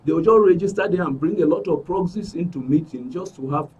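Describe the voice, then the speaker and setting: A man's voice: fairly high pitch, fairly quick, darkish tone, recorded from a distance, highs cut off, a little hiss or hum. A middle-aged Nigerian man giving an earnest, emphatic account as a guest in a broadcast interview, repeating phrases for stress. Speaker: studio guest, aggrieved club member. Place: radio and TV studio.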